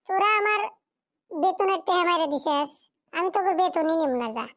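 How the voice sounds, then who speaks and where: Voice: very high voice, normal speed, fairly bright tone. A performer voicing a poor, fatherless schoolboy in a cartoon drama, pleading and plaintive in a rural Bengali dialect. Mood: aggrieved.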